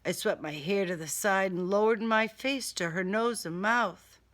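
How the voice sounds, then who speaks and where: A woman's voice: mid-range voice, a little slow, a bit bright. A woman reading a first-person crime thriller audiobook in a dramatic, wry manner. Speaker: author reading own novel excerpt.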